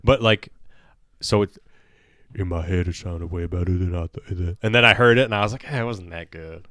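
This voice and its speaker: A man's voice: deep voice, a little fast, fairly bright tone, no echo, studio quality. A man co-hosting a podcast in crude casual banter, profane and joking, often trailing off mid-sentence. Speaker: podcast co-host.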